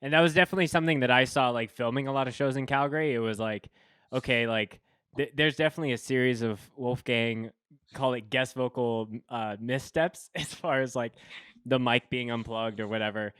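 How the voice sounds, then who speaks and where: A man's voice: mid-range voice, brisk, a bit bright, clear, resonant tone, no echo, studio quality. A man hosting a podcast, chatting casually with a guest and often restarting his sentences. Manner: rambling, many restarts and fillers. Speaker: podcast host. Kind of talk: casual chat.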